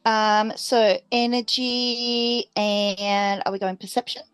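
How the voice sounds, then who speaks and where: Aussie woman playing a tabletop rpg, slow n hesitant, dry close sound. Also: mid-range voice, little pitch movement, clear, resonant tone, no echo. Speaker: player in a tabletop roleplaying game. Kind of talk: casual game-table chat.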